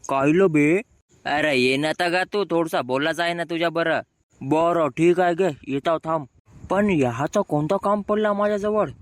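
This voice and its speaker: A man's voice: fairly high pitch, moderate pace, no echo, clean studio sound, lightly acted. A man voicing a brash, grumbling young village man with a rural Marathi accent, bickering in slang in a comic cartoon. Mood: grumbling banter.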